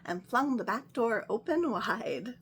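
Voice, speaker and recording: A woman hosting a children's story time, reading a picture book aloud in a gentle, sing-song way. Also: fairly high pitch, animated, unhurried, husky.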